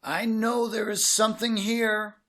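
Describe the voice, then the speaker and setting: A man's voice: sits high, level tone, measured pace, fairly bright tone, voice pushed up. A man voicing a nervous but plucky little boy, earnest and demanding, in a children's storybook.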